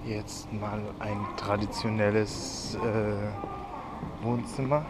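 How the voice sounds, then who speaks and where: A man's voice: fairly low pitch, steady tone, slow pace, heavy background noise. A young man chatting casually through a travel vlog, enthusiastic and full of fillers, filmed on a camera held at arm's length. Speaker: vlogger. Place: museum indoors, then city streets at night. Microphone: action camera held at arm's length.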